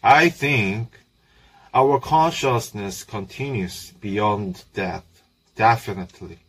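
A man giving a prepared talk in a video, speaking deliberately and earnestly. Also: lowish voice, a bit bright, breathy edge.

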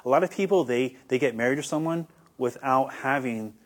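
A man's voice: mid-range voice, fairly quick. A young man giving earnest, hesitant interview answers as a guest, often repeating words as he starts. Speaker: guest, YouTuber.

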